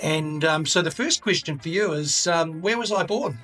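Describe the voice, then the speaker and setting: A man's voice: fairly high pitch, moderate pace, a bit bright, faint music behind. A man with a New Zealand accent hosting a live street interview show, joking and rambling through his questions. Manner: teasing, rambling, jokey. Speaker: host. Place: bar in a city street.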